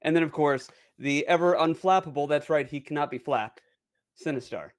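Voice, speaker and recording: Playfully grandiose higher-voiced male podcast host. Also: moderate pace. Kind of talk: casual banter, hosting a gaming podcast.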